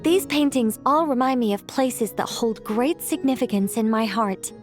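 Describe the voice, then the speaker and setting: A woman voices an ancient female angel who tells tales in a video game. The voice sits somewhat high, with measured, eloquent phrasing and a playful, wry edge. Music plays behind the words. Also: lightly acted. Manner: eloquent, measured, gently teasing. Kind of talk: video game character acting, storybook narration.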